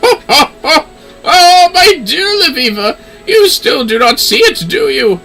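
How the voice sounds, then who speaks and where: A man's voice: very high voice, very animated, slow, vocal fry, pushed and bright, soft background music, pitched above the performer's own voice, exaggerated voice, low-quality recording. A man voicing a theatrical, grand older professor of astrology from a game, speaking in a lofty, formal way. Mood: amused, theatrical. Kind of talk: game dialogue read-aloud.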